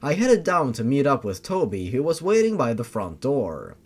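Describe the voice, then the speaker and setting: A man's voice: medium pitch, wildly swooping pitch, normal speed, breathy edge. A man giving a scripted first-person narration of a fan-fiction style story.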